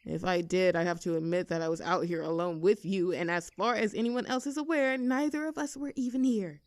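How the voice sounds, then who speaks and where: A woman's voice: lowish voice, a little fast, no echo, lightly acted. A young woman playing a rebellious teenage girl bully in an audio roleplay, with a sarcastic, teasing, brash manner. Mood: pushy teasing. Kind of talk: scripted roleplay audio drama.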